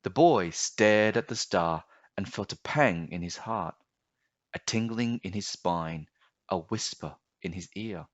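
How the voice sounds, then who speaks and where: A man narrating a children's storybook aloud in a measured, expressive storytelling manner. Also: deep voice, no room sound, muffled recording.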